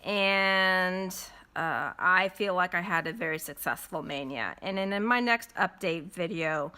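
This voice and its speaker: A congested woman chatting casually as she stitches in a home vlog, in an unhurried, friendly way. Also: fairly low pitch, sharp tone, clear, resonant tone. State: congested from a cold. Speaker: cross-stitch vlogger. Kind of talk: casual chat while stitching. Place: at home with windows closed.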